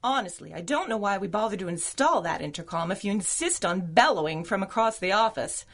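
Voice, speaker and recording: A woman's voice: mid-range voice, animated, a little fast, a bit bright. A woman playing a feisty, devoted secretary in a 1930s-style radio drama, earnest and theatrical in delivery. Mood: exasperated.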